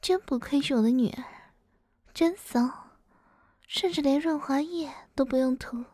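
A woman voicing a dominant, teasing middle-aged mother-in-law in an intimate adult audio roleplay, often slipping into breathy whispers and giving slow commands. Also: high-pitched, dark tone, no echo, clean studio sound. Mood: teasing, stern.

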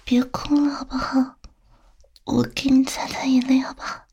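A woman's voice: a little high, steady tone, darkish tone, dull audio. A woman playing a teasing, coaxing female boss flirting with a younger employee in an intimate, whispered audio roleplay. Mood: tender soothing. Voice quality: breathy, whispery. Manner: slow, coaxing, tender, teasing.

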